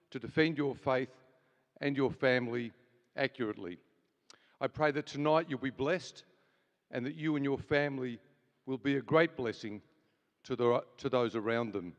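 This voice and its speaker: A man's voice: mid-range voice, highs cut off. A man hosting a church event, welcoming the crowd and leading an opening prayer, earnest and full of small restarts. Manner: earnest, with frequent fillers and restarts. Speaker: event host. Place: large hall with live audience. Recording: reverberant large hall.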